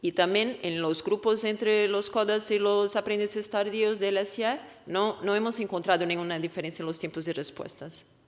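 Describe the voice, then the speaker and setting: Woman w portuguese accent, native portuguese speaker, giving a conference talk, explains stuff haltingly and fixes her words, big echoey hall. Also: mid-range voice, steady tone. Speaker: researcher presenting a study. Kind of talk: conference presentation. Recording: echoey hall with long reverb.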